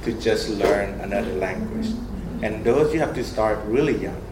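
A young man from Thailand with a Thai accent, whose first language is Thai, sharing personal experiences in a group discussion in an earnest, animated way with lots of fillers. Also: on the low side, normal speed, heavy background noise. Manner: earnest, animated, laughs often, many fillers. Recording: roomy echo with clear background ambience.

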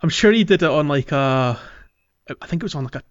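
A man's voice: on the high side, animated, quick pace. A man co-hosting a podcast in casual gaming chat, often giggling between phrases, on a slightly muffled lo-fi microphone. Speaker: podcast co-host.

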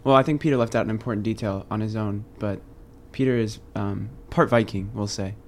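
A man's voice: fairly low pitch, brisk. A young man co-hosting a casual podcast, teasing his friends in a playful way. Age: young adult. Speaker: podcast co-host. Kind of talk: casual chat.

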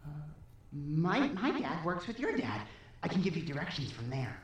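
A woman's voice: low voice, big pitch swings, moderate pace, slight background noise. A woman playing a persuasive, impatient child in an audio drama, coaxing and insistent, with a voice that sounds older than a kid's. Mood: guiding. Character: persuasive, impatient kid trapped and scheming. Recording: roomy, echoing sound.

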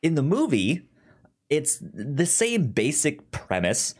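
A man co-hosting a podcast in casual chat, summarizing and reviewing in a halting way, often stammering and repeating words. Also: a little high, wildly swooping pitch. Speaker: podcast co-host.

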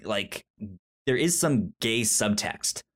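A man hosting a podcast in a casual film review chat, with a halting delivery that often repeats and trips over words. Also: fairly low pitch, animated, a bit bright. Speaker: podcast host.

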